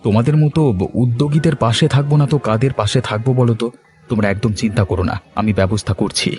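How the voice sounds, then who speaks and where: A quick, mellow male voice acted out as a righteous young master in a village cartoon. He is assertive and protective, over faint music. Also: medium pitch, fairly even intonation, lightly acted. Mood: supportive. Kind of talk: cartoon dialogue acting.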